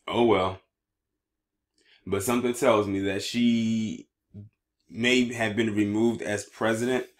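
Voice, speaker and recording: A man with a fairly low voice delivers online news commentary. He moves between reading written reports and speaking his own take, and he sometimes trips over words as he reads.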